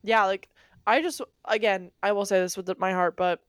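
A woman co-hosting a podcast, chatting casually with many fillers and frequent laughs, in a slightly deep voice. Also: steady tone, moderate pace, clean tone, no echo, studio quality. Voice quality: rambling, filler-heavy, laughs often; deeper voice. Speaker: podcast co-host. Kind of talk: casual chat.

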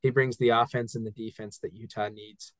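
A man's voice: on the low side, level tone, brisk, no room sound. A man co-hosting a casual sports podcast, eagerly walking through a game's final play. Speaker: guest co-host.

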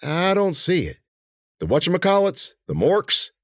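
Man doing a puzzled, dim henchman, pitched up. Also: wildly swooping pitch, moderate pace, fairly bright tone, no echo, clean studio sound, exaggerated voice. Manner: questioning, uneducated grammar.

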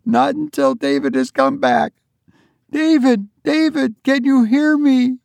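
A man's voice: extremely high pitch, fairly even intonation, slow, gravelly, a little mellow, dry recording, voice pushed up, full character voice. A man voicing a desperate, defiant grieving mother in a dramatic story reading, pleading breathlessly and insistently. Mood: desperate, calling.

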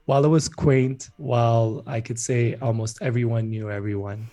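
A man's voice: medium pitch, fairly even intonation, normal speed, dark tone. A man from Ethiopia speaking as a startup founder and guest in a conversational podcast interview.

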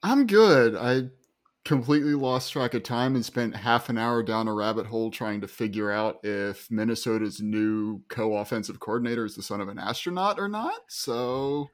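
A man's voice: medium pitch, expressive, normal speed. A man chatting as a podcast guest who laughs often and tells nerdy stories. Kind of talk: casual group podcast chat.

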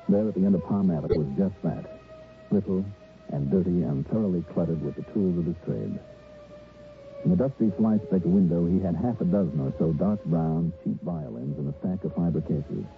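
A cool American investigator speaks low and fast in a dry, clipped, hard-boiled way. The sound is that of old radio detective drama, with a narrow, muffled band. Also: male voice, soft background music. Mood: cool, reporting. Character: cool, matter-of-fact male insurance investigator narrating his case.

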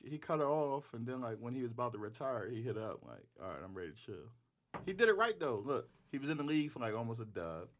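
A man's voice: mid-range voice, fast. A young man co-hosting a casual chat, telling slangy, joking stories. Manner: animated, joking, slangy storytelling. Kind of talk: casual banter.

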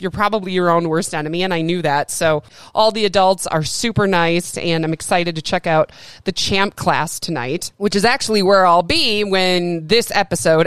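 A woman's voice: fairly low pitch, clear, resonant tone, no room sound. A woman hosting a podcast, talking in a chatty, upbeat way with frequent laughs, with the ease of a former radio broadcaster. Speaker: podcast host. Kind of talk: casual solo podcast monologue.

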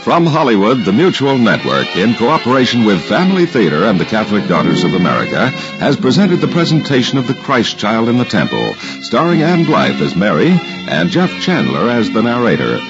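A man's voice: medium pitch, wildly swooping pitch, brisk. A man announcing in a formal, polished, scripted 1950s radio style. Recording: dull 1950s radio recording. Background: music.

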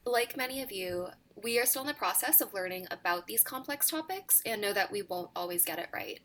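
A woman in her twenties from the Bay Area, co-hosting a podcast in an earnest, measured way. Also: medium pitch, lively intonation, fairly bright tone. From San Francisco Bay Area. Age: 20s. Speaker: podcast co-host. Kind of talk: podcast intro, partly read.

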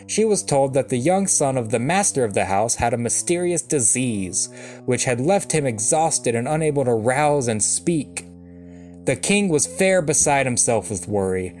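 A man reading a fairy tale aloud as an expressive storyteller, with a wry, dry comic touch. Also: mid-range voice. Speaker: storyteller, reader. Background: soft guitar music.

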